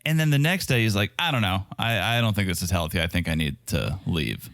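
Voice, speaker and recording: Lively sarcastic man podcast co-host. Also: low-pitched, fairly quick, no room sound, studio quality. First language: Czech. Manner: sarcastic, quotes show participants with lively impressions. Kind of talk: casual chat reviewing a reality show.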